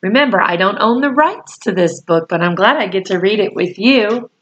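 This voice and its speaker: A woman in her forties reading a storybook aloud to children, deliberate and pausing phrase by phrase, with explanatory asides. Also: medium pitch, animated, lo-fi sound, a little hiss or hum. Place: small room at home, indoors.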